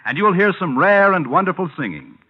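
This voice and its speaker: An American man reads scripted network announcements in formal 1950s style. His voice is a little high, measured and polished. The old recording is dull and muffled. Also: expressive. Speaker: radio announcer. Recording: muffled vintage radio recording.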